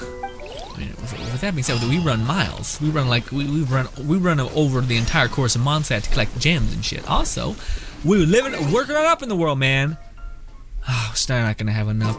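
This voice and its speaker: A man giving casual, reactive gameplay commentary as a streamer, excitable, slangy and quick to swear. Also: medium pitch. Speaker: gaming streamer. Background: game music.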